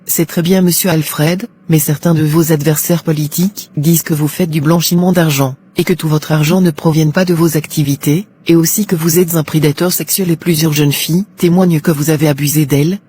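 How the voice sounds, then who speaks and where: A woman's voice: sits low, steady tone, a little fast, few pauses, dark tone, breathy edge. An actress playing a polite, probing female talk show presenter in a cartoon, formal and composed. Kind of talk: scripted broadcast interview in a cartoon.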